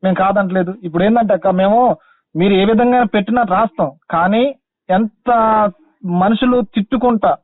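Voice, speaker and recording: A young man pleads over a narrow, dry phone line in a high voice. He speaks Telangana Telugu, respectful yet insistent, as a caller making an appeal. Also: moderate pace, no echo. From Khammam district, Telangana, India. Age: young adult. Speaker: caller, teacher-exam candidate.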